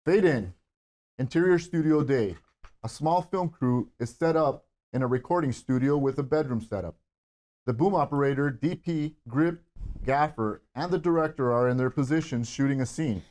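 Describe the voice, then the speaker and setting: Male narrator-host for a script reading, medium pitch, reading out stage directions and addressing the cast. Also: normal speed, darkish tone.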